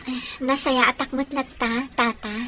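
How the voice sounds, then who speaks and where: A woman's voice: sits high, fairly even intonation, moderate pace, a crowd in the background, lightly acted. A woman with an Ilocano accent playing an insistent, caring young fiancée in a radio drama.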